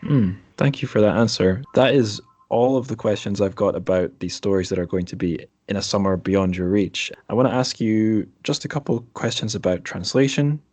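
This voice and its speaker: A man's voice: lowish voice, moderate pace, few pauses, a little mellow. A man, hosting a podcast interview in a conversational way.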